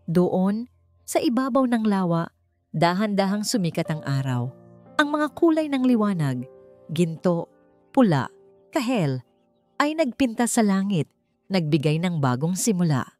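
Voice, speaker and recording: A woman's voice: mid-range voice, sing-song, measured pace, long pauses, a little mellow, no echo. A woman with a Filipino accent reading a scripted, dramatic romance story as narrator. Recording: music bed under the voice. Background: background music.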